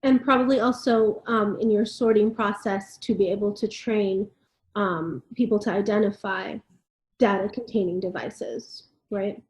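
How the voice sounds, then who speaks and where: A woman's voice: medium pitch, fairly even intonation, a little slow, a little mellow. A woman co-hosting, reading a formal standard aloud and discussing it informally. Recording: echoey room.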